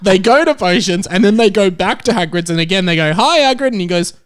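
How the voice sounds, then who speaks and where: A man's voice: high voice, lively intonation, quick pace. A young man hosting a podcast in casual, comedic chat, joking and often prone to laughter. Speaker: podcast host.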